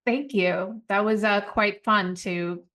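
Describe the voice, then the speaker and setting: A woman's voice: mid-range voice, takes it slowly. A woman in her forties from Puerto Rico, a company founder telling her career story as a podcast guest in a reflective, storytelling manner. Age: 40s. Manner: reflective, storytelling, animated asides. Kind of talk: podcast interview.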